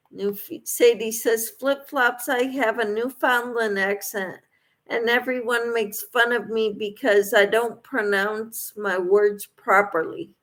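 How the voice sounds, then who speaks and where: A woman hosting a casual livestream, reading out viewers' comments and replying to them in an encouraging way. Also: on the high side. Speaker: livestream host.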